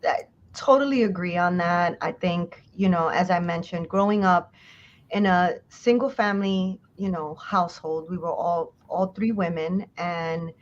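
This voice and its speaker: Woman host, conversational and relaxed, mid pitch, muffled lo-fi sound. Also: a little slow. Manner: conversational, many "you know" fillers. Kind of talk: live online conversation. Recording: dull lo-fi sound.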